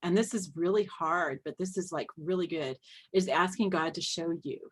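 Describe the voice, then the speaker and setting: A woman's voice: lowish voice, normal speed, breathy edge. A woman co-hosting a Bible study discussion, talking casually and candidly. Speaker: Bible study co-host and group leader.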